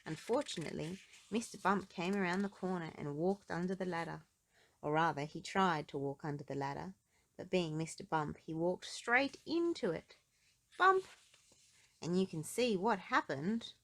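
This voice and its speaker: A woman narrating a children's picture book aloud in an expressive storytelling style. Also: fairly low pitch, moderate pace.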